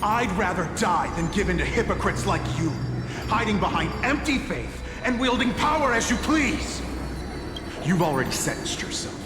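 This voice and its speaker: A man's voice: sits high, sing-song, normal speed. An actor playing a cruel, mocking zealot captor in a video game, theatrical and scornful as he passes judgment. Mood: mocking contempt. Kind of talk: English video game voice acting. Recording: echoey hall reverb under loud music.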